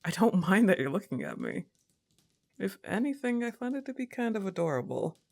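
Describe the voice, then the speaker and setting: A woman's voice: medium pitch, very animated, a little fast, gravelly, a little mellow. A woman playing a laid-back, teasing young goth roommate in an ASMR roleplay, with a casual, coaxing manner and a touch of annoyance. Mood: amused, teasing.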